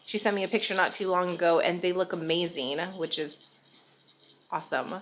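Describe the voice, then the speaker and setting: A woman's voice: on the low side, normal speed, a bit bright, slight background noise. A young woman vlogger, chatting casually and rambling, a bit nasal and congested, laughing often. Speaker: vlogger, stay-at-home mom. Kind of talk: casual chat to camera.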